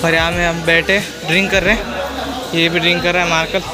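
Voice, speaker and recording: A young man chats straight to his viewers from a hillside trail. He talks casually into a phone camera held in front of him, with loud music and a crowd heard behind him. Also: fairly high pitch, flat delivery, brisk. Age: young adult. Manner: chatty, addresses viewers directly. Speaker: vlogger. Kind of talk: casual travel vlog.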